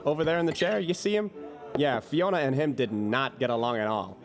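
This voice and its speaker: A man's voice: medium pitch, brisk, close to an everyday voice. A man acting as a proud, gossipy and easily indignant bartender in an audio drama. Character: gossipy, huffy male bartender, proud of his drinks. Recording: faint music behind the voice.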